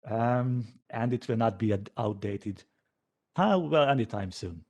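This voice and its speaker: A man, a developer informally answering questions after a talk in a hesitant, halting way, as if thinking aloud. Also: medium pitch, animated, a little mellow. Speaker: software developer giving a conference talk.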